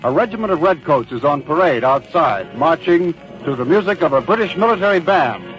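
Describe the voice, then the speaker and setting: An actor playing a courteous, probing American war correspondent in an old-time radio drama, speaking formally and with measure. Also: male voice, a little high, lively intonation, lightly acted. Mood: descriptive, composed. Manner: formal, measured, politely challenging. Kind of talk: old-time radio news interview acting. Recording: muffled vintage radio, band music behind.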